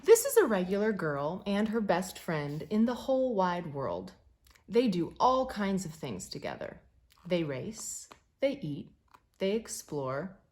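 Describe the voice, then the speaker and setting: A woman reading a children's picture book aloud in an expressive, deliberate way, stressing key words. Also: mid-range voice.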